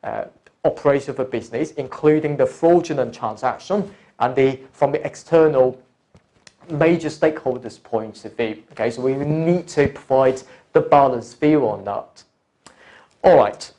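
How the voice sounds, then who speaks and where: A male tutor delivers an explanatory lecture in a soft, mellow voice at a middling pitch. He is deliberate and instructional, walking the learner through points and examples one at a time. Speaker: accounting exam course tutor.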